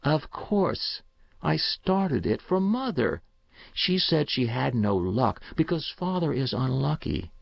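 An eager, anxious young boy, as a man puts him on in a storybook reading, raised well above the reader's own pitch. He speaks earnestly and pleadingly, with a lively, rising lilt and a slow, careful pace. The sound is dull and dry, like a lo-fi home recording. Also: vocal fry, no echo, full character voice.

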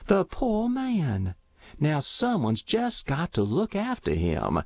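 A man's voice: on the high side, huge pitch swings, takes it slowly, creaky, dry recording, dull audio, voice pushed up, heavily acted. A man voicing a stout, kindly old farm woman who fusses and exclaims in rural American speech for an audiobook. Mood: pitying. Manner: exclaiming, folksy. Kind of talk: audiobook character voice.

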